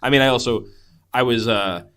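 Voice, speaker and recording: A man's voice: mid-range voice, normal speed, fairly bright tone. A man co-hosting a podcast, reviewing a film in a casual, enthusiastic, rambling way and laughing readily. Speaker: podcast co-host.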